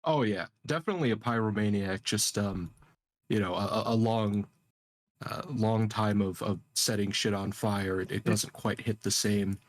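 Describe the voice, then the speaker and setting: A young man in his twenties, a player in a tabletop game, talking casually and haltingly, repeating words. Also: fairly low pitch, no room sound. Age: 20s. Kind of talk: casual chat.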